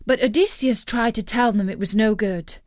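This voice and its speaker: A woman narrating a scripted children's story in a measured, expressive storyteller's manner. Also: on the high side, no room sound, clean studio sound.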